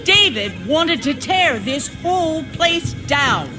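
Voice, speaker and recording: An actress playing a cold, ruthless survivor town leader in a video game, theatrical, menacing and mock-sincere. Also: female voice, sits high, very animated, slow pace, over music, crowd noise, full character voice. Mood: stern, vindictive. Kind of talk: dramatic video game acting.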